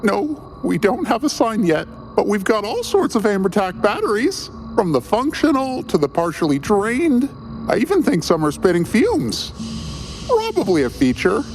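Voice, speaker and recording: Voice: medium pitch, huge pitch swings, normal speed, slight background noise. An actor playing a frantic battery warehouse seller in a comedic radio ad, rambling awkwardly and nervously. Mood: eager, rambling. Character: frantic, jittery battery warehouse huckster making an ad.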